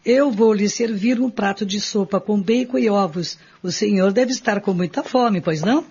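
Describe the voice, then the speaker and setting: A woman's voice: mid-range voice, normal speed, darkish tone, a little hiss or hum, natural voice. A woman playing a courteous, soothing country housewife receiving a guest in a radio drama, with polite formal manners. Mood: polite, hospitable. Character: courteous, soothing married hostess of a rural house. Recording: dull, lo-fi recording.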